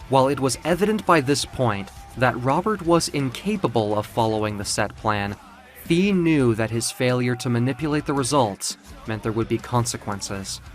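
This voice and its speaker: A man narrating a scripted story in a theatrical, suspenseful way. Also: mid-range voice.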